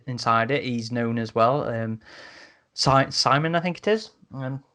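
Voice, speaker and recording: A man in a casual podcast discussion as a host, speaking a little haltingly and repeating words as he goes. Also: mid-range voice, a bit bright, clean tone.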